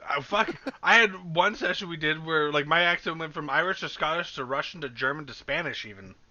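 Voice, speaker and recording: A man chatting excitedly and casually as a player in an online tabletop game, slangy and swearing freely. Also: a little high, brisk, dull audio.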